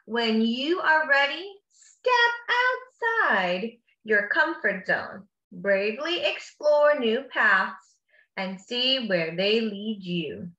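A woman's voice: on the high side, lively intonation, slow pace, bright, forceful tone, highs cut off. A woman reading a picture book aloud to children like a teacher, with encouraging, simply worded asides in between. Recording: echoey room.

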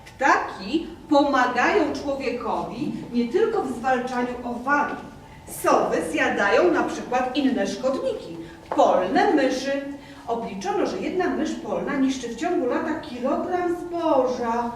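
High-voiced doting tutor, very echoey. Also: female voice, lively intonation, unhurried. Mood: doting, instructive. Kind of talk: theatrical children's stage acting. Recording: echoey stage hall, distant, faint noise.

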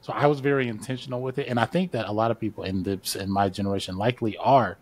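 A man's voice: lowish voice, fairly even intonation, fast talker, a little mellow. A man in his thirties, a podcast guest on a video call, explaining his views at length in a casual, thoughtful way. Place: home studio, indoors. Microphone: large studio mic on video call.

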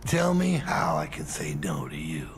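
An actor playing a cocky, playful middle-aged crime boss in a video game, with a gravelly voice and a halting, folksy drawl. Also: male voice, very deep voice, huge pitch swings, slight background noise, heavily acted. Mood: smooth, flirty. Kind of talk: cinematic video game acting.

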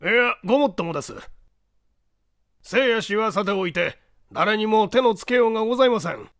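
A man's voice: high-pitched, animated, fairly quick, a bit bright, dry recording, studio quality, pitched above the performer's own voice. A man voicing a courteous, anxious lord of a castle in a mystery audiobook, speaking formally and theatrically. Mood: earnest, explanatory. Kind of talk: dramatized Japanese mystery audiobook reading.

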